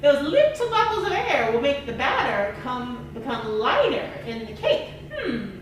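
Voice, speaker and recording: A woman voicing a curious, excitable old scientist puppet, musing aloud and repeating words in a children's puppet show. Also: high voice, unhurried. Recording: echoey room, distant mic, noisy.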